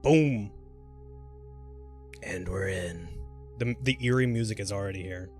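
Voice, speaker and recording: A man's voice: fairly low pitch, unhurried. A man hosting a live gaming stream, chatting informally and muttering little filler sounds to himself as he plays. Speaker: streamer hosting a let's play. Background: game music.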